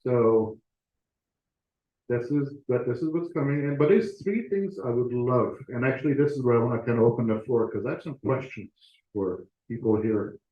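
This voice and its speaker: A man's voice: mid-range voice, normal speed. Man presenting in an echoey room. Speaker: author and guest speaker presenting to a club. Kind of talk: informal presentation to an audience. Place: meeting room, talk to a live audience. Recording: echoey room, slightly muffled audio.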